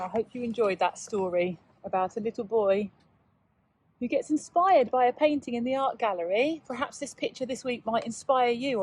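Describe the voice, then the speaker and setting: A woman teacher reading aloud and chatting to young pupils in a measured, child-directed way. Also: mid-range voice, darkish tone, slightly rough, rough recording. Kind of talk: children's read-aloud and informal lesson talk.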